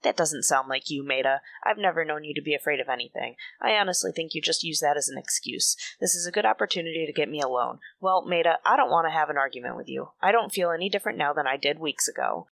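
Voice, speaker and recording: A woman's voice: sits low, lively intonation, fast, vocal fry, few pauses, a bit bright, no echo, heavily acted. A woman playing a bitter, defensive mature woman in a radio soap, speaking in a guarded, clipped way. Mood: defensive, weary. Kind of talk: radio soap opera acting.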